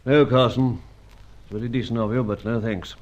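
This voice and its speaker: Through dull, lo-fi radio serial sound comes an actor as an older spy chief of medium pitch. He is grave and paternal. His speech is measured and a little hesitant. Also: fairly even intonation, darkish tone, lightly acted. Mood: grave, resigned. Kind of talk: old-time radio drama acting.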